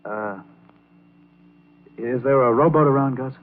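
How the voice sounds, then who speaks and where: An actor playing an earnest, awkward young man with a halting, boyish manner in an old-time radio drama. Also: medium pitch, lightly acted. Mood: evasive, casual. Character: earnest, awkward young piano player narrating his story. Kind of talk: 1940s radio drama acting and first-person narration. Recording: tinny old radio recording with music.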